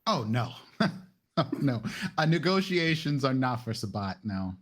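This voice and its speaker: A man's voice: mid-range voice, very animated, a little slow, a bit bright. Man in casual improvised roleplay. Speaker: tabletop roleplay player.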